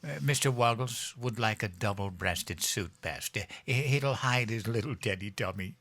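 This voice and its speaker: An actor playing a childish, guileless older American president and doting husband in an audio sitcom, earnest and excitable. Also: male voice, on the low side, normal speed. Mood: childlike, affectionate.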